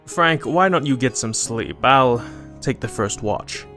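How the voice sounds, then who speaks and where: An actor playing a calm, considerate male travelling companion in scripted audio drama dialogue. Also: medium pitch, a little slow, faint music behind. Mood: calm, probing.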